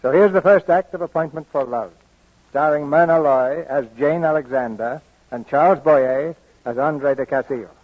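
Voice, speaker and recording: A man's voice: fairly high pitch, moderate pace. A man hosting and narrating in a polished, theatrical, scripted 1940s radio style. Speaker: host and narrator. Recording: dull vintage radio recording.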